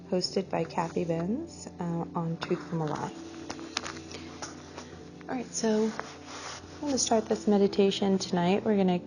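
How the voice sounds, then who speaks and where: A woman leads a group meditation in a low, mellow voice with a creaky fry. She speaks soothingly, stretching out her phrases and letting them fade softly at the ends. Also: slight background noise, poor audio. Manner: soothing, unhurried, drawn-out phrasing with soft trailing endings. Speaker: guided meditation leader. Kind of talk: slow guided meditation for a group class.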